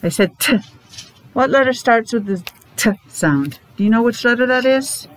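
A woman's voice: a little high, lively intonation, moderate pace. A middle-aged woman leading a lesson for small children in simple, sing-song talk with questions, slightly muffled by a face mask, recorded in a classroom at arm's length. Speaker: preschool teacher. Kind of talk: children's morning meeting lesson, asking questions. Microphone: device at about arm's length. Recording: noisy room, device microphone.

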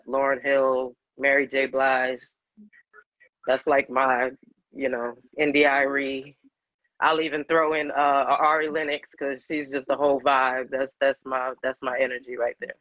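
A woman in her forties, a singer-songwriter guest with a deep voice, chatting animatedly and laughing often in an interview over a video call. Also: no room sound, muffled recording. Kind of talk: casual interview chat. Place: home room, video call. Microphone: webcam on video call.

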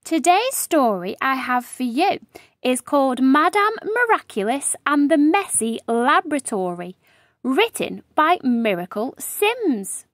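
A woman reads a children's story in a high voice with sweeping, animated intonation. She takes her time over the phrases, and the sound is dry and close. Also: no echo. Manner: animated, deliberate storytelling delivery. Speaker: children's storybook reader and host. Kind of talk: expressive read-aloud storytelling.

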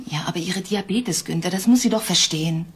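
A woman's voice: on the low side, brisk, husky. A woman playing a concerned, caring mother who reasons with others in a children's audio drama.

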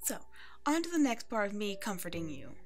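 A woman's voice: medium pitch, sing-song, fairly quick, natural voice. A woman playing a chatty, caring, playful hairstylist who comforts a client in an ASMR roleplay. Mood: playful, upbeat. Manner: chatty, bubbly, reassuring. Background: background music.

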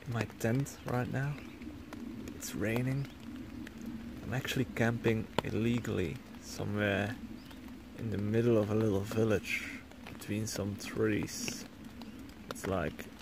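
Slow, gravelly young Belgian man. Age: young adult. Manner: low-key, halting. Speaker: hiker vlogger. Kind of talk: casual vlog recap to camera. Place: inside a small tent outdoors. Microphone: camera or phone at arm's length. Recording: clearly noisy small-camera recording.

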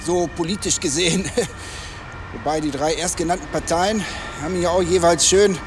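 An actor playing a chatty, self-satisfied local police detective in a naturalistic radio crime drama, talking colloquially. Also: male voice, a little high, steady tone, normal speed, distant mic, background noise, natural voice. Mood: smug, chatty.

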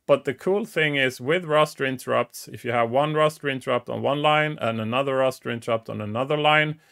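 A man's voice: mid-range voice, brisk, vocal fry, a bit bright. A middle-aged man whose first language is Swedish, hosting a casual technical explainer with frequent restarts and fillers, speaking into a headset. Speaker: show host. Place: small dim room indoors.

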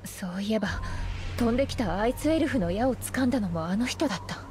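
A woman's voice: on the high side, animated, fast talker, darkish tone, soft background music, natural voice. An actress playing an anxious, awed young female hunter, tentative and wondering, in dramatic anime game style. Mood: hushed wonder.

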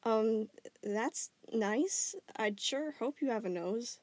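A woman's voice: fairly high pitch, lively intonation, takes it slowly, dry recording. A woman doing a hesitant concerned young woman.

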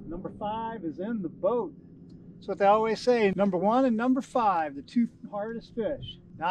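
A middle-aged man with a fairly high voice talks casually to a boat-mounted camera while fishing from a kayak. He keeps it low-key and matter-of-fact, half talking to himself. Wind and water noise sit clearly under his voice outdoors on the lake. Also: rough recording. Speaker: kayak angler. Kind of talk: casual self-filmed commentary. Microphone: action camera mounted on the boat.

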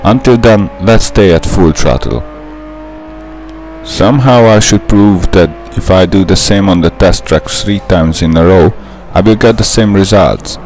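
A man's voice: sits low, measured pace, a little mellow, a little hiss or hum. A young man with a Hungarian accent, a native Hungarian speaker, narrating a gameplay tutorial in a careful, methodical way. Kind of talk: scripted gameplay voice-over.

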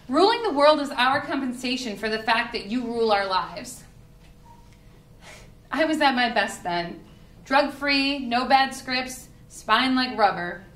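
A woman's voice: sits high, a bit bright. A young woman reading a humorous essay aloud to a live audience with a wry, performative delivery. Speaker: reader at a book reading. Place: indoor party room with live audience. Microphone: podium microphone on a stand. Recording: roomy live event with faint noise.

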